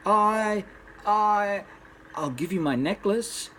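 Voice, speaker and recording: A man puts on a fairy-tale maiden, young, frightened and meek. The voice is pushed high and pleads in a halting way. It is a storybook reading with faint background noise. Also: expressive, bright, forceful tone, full character voice. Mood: hesitant, timid. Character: miller's young daughter, frightened, tearful and meek.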